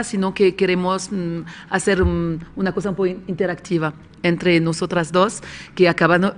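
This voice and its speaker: A woman from Switzerland, a screenwriter and script doctor, speaking Spanish as an at a panel talk, pausing to hum and restart while searching for words. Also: medium pitch. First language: not Spanish. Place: conference room with audience.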